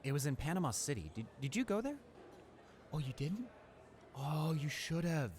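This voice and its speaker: A man playing a friendly, awkward, talkative high school student in a casual audio roleplay, speaking in a hesitant, rambling, informal way. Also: big pitch swings, normal speed, a little mellow. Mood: chatty, enthusiastic.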